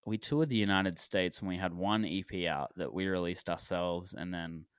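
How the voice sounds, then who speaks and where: A man in his twenties from Australia, a musician guest on a podcast, talking in a relaxed, rambling way with lots of fillers and restarts. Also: sits low, normal speed, clear, resonant tone, dry recording, studio quality. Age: 20s. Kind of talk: casual podcast interview.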